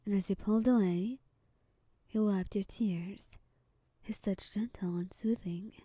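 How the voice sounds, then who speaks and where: A woman narrating a romantic second-person story in a soft, whispered ASMR style. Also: mid-range voice, steady tone, measured pace, husky, dry recording.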